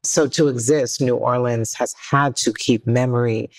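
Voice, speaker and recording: A woman's voice: rumbling low, fairly even intonation, measured pace, low-quality recording. A woman organizer speaking earnestly and reflectively as an interview guest.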